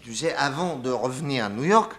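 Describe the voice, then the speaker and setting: A man's voice: medium pitch, lively intonation, a bit bright. An actor playing a genial, tipsy detective uncle who rambles and stammers through his tale in a 1960s French radio drama. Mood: evasive, rambling. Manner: hesitant, halting, stammering.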